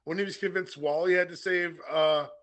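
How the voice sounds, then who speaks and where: A man's voice: high-pitched, steady tone, moderate pace, a bit bright. A man from the southern United States co-hosting a podcast, bantering casually and wryly and repeating himself for emphasis. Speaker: podcast co-host.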